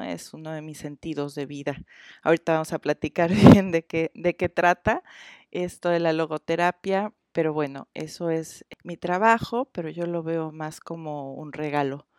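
A Mexican woman in her forties, a therapist being interviewed, who talks in a halting way with many hesitations. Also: deep voice, a little mellow, no room sound, clean studio sound. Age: 40s. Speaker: therapist guest. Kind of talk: interview conversation.

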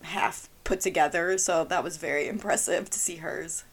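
A woman's voice: fairly low pitch, fairly even intonation, normal speed. A woman, co-hosting a podcast in casual chat, her voice scratchy and partly gone, talking in a bubbly, giggly way with lots of "like". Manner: bubbly, giggly, says "like" often. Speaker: podcast co-host.

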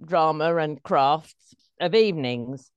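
A woman's voice: low-pitched, slow, clean tone, no echo, dull audio. A middle-aged woman, a stage performer telling anecdotes as an interview guest.

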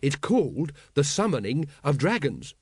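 A man's voice: a little high, very animated, moderate pace, slightly rough, dry recording, studio quality, full character voice. A man voicing an eager, earnest, naive young watchman who recites rules precisely in a storybook. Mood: triumphant, happy.

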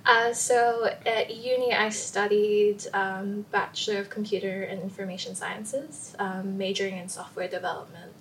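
A young woman from Samoa, a podcast guest talking softly and casually with many fillers. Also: medium pitch, fairly even intonation, normal speed, few pauses, slight background noise, poor audio. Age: young adult. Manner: soft-spoken, frequent "um" and "like". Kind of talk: casual interview talk.

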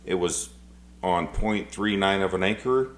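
Deep-voiced blunt man in his forties. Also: measured pace. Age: 40s. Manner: blunt, swears a lot, rambling. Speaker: podcast co-host. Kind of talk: casual chat.

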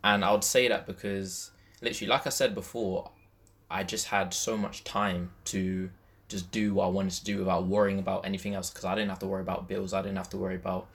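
A man's voice: deep voice, level tone, a little fast, a bit bright. A young man chatting casually as a podcast co-host, thinking aloud in slangy speech and restating his points. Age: young adult. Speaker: podcast co-host, university student. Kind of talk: casual chat.

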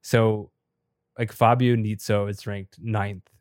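A slightly raspy, low-voiced man who is a bit sick and losing his voice. He explains at length in a chatty, filler-heavy podcast style. His delivery stays flat, with very little rise and fall. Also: slow pace, no room sound, studio quality. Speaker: podcast co-host and top competitive player.

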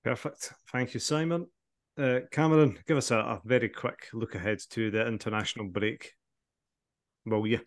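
A man's voice: medium pitch, fairly even intonation, moderate pace, no room sound, clean studio sound. A man with a Scottish accent, a podcast regular in casual chat.

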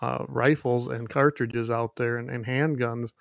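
A man's voice: mid-range voice, steady tone, measured pace, creaky, no echo. A man, co-hosting a casual podcast over a glitchy online call, rambling with restarts and fillers. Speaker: podcast co-host. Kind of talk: casual remote podcast chat.

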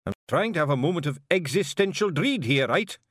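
A man voicing a tiny, fierce male pixie clan chief with a gruff, blustering Scottish accent. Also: fairly high pitch, lively intonation, a little fast, a bit bright, no echo, clean studio sound, voice pushed up. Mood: exasperated. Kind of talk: audiobook character voice.

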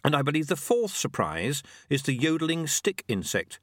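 A man's voice: a little high, sing-song, moderate pace, fairly bright tone, no room sound, clean studio sound, voice pushed up. A man voicing an earnest, nervous young novice monk in an audiobook, hesitant, deferential and easily awed. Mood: earnest, respectful.

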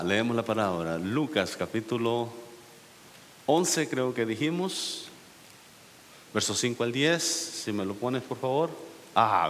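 A man preaching a sermon, putting questions to the congregation and climbing to emphatic peaks. His voice sits fairly low. It rings through a long church reverb over a faint hiss. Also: a bit bright. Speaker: pastor. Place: church sanctuary.

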